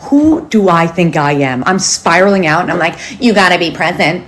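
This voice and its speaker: Woman, lowish pitch, self-deprecating, confessional comedy, background noise. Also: animated, normal speed. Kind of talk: stand-up style confessional comedy.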